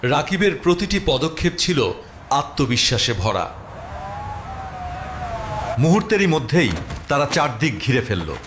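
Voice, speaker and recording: A man's voice: fairly high pitch, sing-song, a little slow, pauses often, background noise. A man giving dramatic scripted narration of a story. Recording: music bed under the narration. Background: prominent music.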